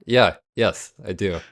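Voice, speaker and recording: A man speaks as a podcast guest in a casual chat. His voice is very low, and he laughs easily and often pauses with ums. The sound is close and dry. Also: a bit bright, no echo.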